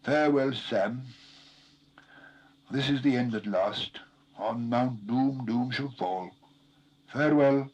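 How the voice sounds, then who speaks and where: A man's voice: medium pitch, slow, long pauses, a little mellow, muffled recording. A man voicing a stern, weary hero in a storybook reading, solemn, commanding and declamatory. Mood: solemn, resigned.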